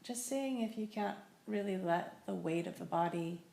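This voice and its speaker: A woman teaching a guided meditation, speaking in a gentle, unhurried way with long pauses. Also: mid-range voice, vocal fry. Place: indoor room in a building. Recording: echoey room with faint noise.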